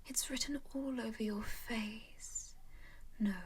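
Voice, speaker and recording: A woman voicing a composed, doting female vampire noble in a whispered roleplay, gently coaxing and possessive. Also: on the high side, fairly even intonation, takes it slowly, breathy edge, heavily acted. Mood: soothing whisper. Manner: soft whisper throughout; gently possessive and coaxing, calls listener darling and dear.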